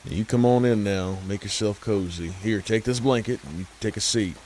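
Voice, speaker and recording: A man hosting and narrating a horror story, folksy and unhurried, who talks straight to the listener. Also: fairly low pitch, a little mellow, a little hiss or hum.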